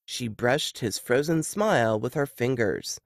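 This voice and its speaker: A woman narrating a novel excerpt in an expressive audiobook style. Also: a bass voice, a little slow, gravelly, no echo, studio quality.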